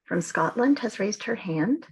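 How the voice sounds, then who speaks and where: A woman acting as tech host on a video call, briskly calling on the next speakers. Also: medium pitch, animated, breathy edge, low-quality recording. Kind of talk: brief announcements.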